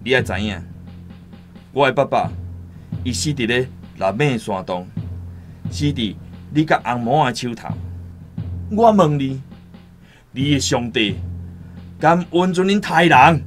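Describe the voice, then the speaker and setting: A man's voice: medium pitch, animated, unhurried, long pauses, soft background music, natural voice. Actor as defiant young Taiwanese rebel man. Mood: defiant, threatening. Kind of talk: Taiwanese radio drama acting.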